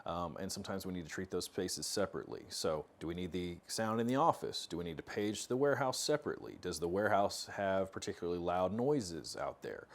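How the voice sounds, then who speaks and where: A man's voice: low-pitched, lively intonation, fairly quick, few pauses. A man in his thirties with an American accent, hosting an informal instructional talk to camera and explaining things casually. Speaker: host of a product tech talk series. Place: indoor workshop studio with metal cabinets.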